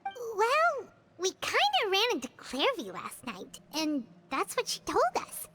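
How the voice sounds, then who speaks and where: A tiny fairy-like game companion with a high, bright little voice who chatters about herself in the third person. She has a lively, earnest way of talking, full of questions and quick reactions. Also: slight background noise, full character voice. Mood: worried. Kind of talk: video game cutscene dialogue.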